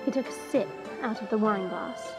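A woman's voice: mid-range voice, normal speed, music in the background, close to an everyday voice. A woman playing a proud, sardonic young dragon woman who narrates an audio drama in a chatty, confiding way. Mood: wry confiding. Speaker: narrator and lead character.

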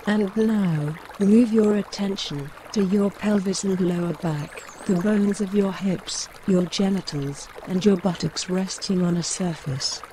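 A woman gently guiding a mindfulness meditation in measured short phrases, with pauses between them. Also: medium pitch, slight background noise. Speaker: meditation guide. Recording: clear running stream water behind the voice. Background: trickling woodland stream.

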